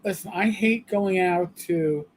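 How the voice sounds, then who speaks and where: A man in his fifties hosts a livestream, his voice sitting fairly high. He talks at an unhurried pace, rambling and poking fun at himself, often stopping to restart a sentence. A faint hiss runs underneath. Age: 50s. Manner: rambling and self-deprecating, with halting restarts. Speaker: livestream co-host. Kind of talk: casual chat.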